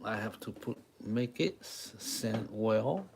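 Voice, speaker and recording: Slow-talking man with a Taiwanese accent. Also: on the low side, gravelly, noisy background. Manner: halting, repeats short phrases, talks to viewers. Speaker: live-stream drawing artist and host. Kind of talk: casual live-stream chat with drawing demonstration.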